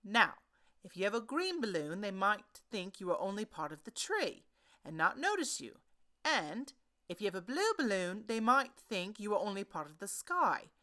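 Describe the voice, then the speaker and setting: A woman's voice: mid-range voice, very animated, normal speed, bright, forceful tone. A woman voicing an earnest, simple-minded, honey-loving male bear in a children's storybook, pondering aloud in an emphatic, sing-song way. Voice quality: growly.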